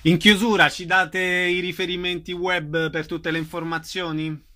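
A man's voice: sits high, flat delivery, a little slow, pushed and bright. A man hosting a radio interview, putting short questions to his guests.

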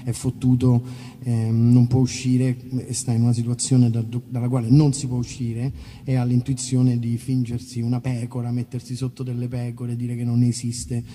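A man's voice: mid-range voice, monotone, normal speed, mellow. A man, a musician, talking casually between songs in a rambling, informal, self-interrupting way at a live concert. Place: live outdoor festival concert in a park. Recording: live concert, long reverb, faint noise.

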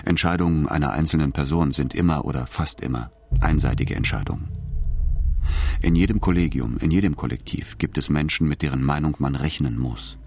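An actor playing a solemn, didactic orator, reciting in a measured, declarative way for a radio drama. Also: male voice, rumbling low, a little mellow, a little hiss or hum.

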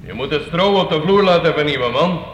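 An actor playing a domineering, suspicious medieval castle lord in a radio drama, curt and contemptuous in manner. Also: male voice, fairly high pitch, expressive, fairly quick, fairly bright tone. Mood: impatient, commanding. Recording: echoey, noisy old radio play recording.

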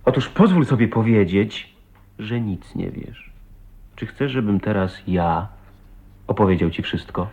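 A deep, husky man's voice plays an earnest, loving husband in a radio drama. His manner is intimate and unhurried. The sound is slightly dull and muffled. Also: lively intonation, darkish tone, exaggerated voice. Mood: tender reproach. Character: tender, earnest husband, a lonely millionaire's son.

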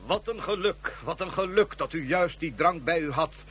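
Scheming ruler, highish husky voice, cool and in charge. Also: male voice, animated, moderate pace, fairly bright tone. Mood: probing. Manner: smooth, calculating, commanding. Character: scheming male ruler, smooth and calculating. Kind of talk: 1970s radio drama acting.

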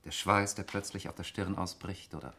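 An actor playing a cool, sardonic, probing man in a 1960s German radio play, deliberate and insinuating in manner. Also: low-pitched, fairly even intonation, pushed and bright, slightly rough, exaggerated voice. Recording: faintly noisy 1960s radio recording.

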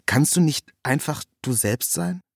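A man voicing a puzzled, hesitant male listener who asks halting, sincere questions in a read story. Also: fairly high pitch, expressive, creaky, slightly rough, no room sound, studio quality, full character voice. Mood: awkward, sincere. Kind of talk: quoted dialogue in a read story.

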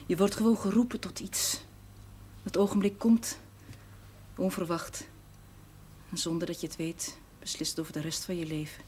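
An actress playing a self-sacrificing priest's housekeeper in a television drama, earnest, plain-spoken and resigned. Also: female voice, on the low side, darkish tone, close to an everyday voice. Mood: bitter, resigned. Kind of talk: naturalistic television drama acting. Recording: noisy old television soundtrack.

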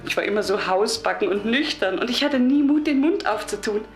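An actress playing a meek, devout, gravely ill wife in a radio drama, solemn and fervent, halting and at times dropping towards a whisper. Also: female voice, fairly high pitch, animated, soft background music, lightly acted. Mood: tender, wistful.

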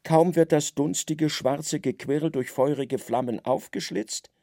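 A man's voice: on the high side, a little slow, vocal fry, mellow, dry recording, studio quality. A man giving a solemn literary narration in a radio play.

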